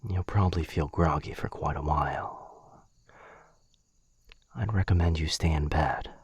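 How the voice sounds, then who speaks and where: A gentle, protective vampire gentleman, voiced by a man, murmurs slowly in an extremely low voice. It is very breathy, soothing ASMR roleplay acting, close to the mic. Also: no echo, exaggerated voice. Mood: gentle, apologetic. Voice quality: breathy, husky, whispery. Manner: soothing, apologetic. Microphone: close mic, dry.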